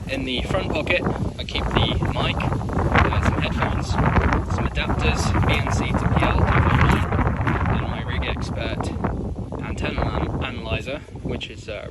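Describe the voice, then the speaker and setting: A man casually presenting portable radio gear in an informal, practical, slightly hesitant way, recorded outdoors. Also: mid-range voice. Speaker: amateur radio operator, video presenter. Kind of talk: casual hands-on gear demonstration and chat. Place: outdoors in open countryside. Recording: windy, noisy outdoor microphone.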